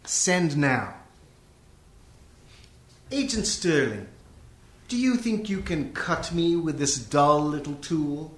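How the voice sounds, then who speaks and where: A man playing a cultured, menacing serial killer in a radio comedy parody, sneering and taunting with deliberate condescension. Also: on the high side, expressive, a bit bright. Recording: echoey room.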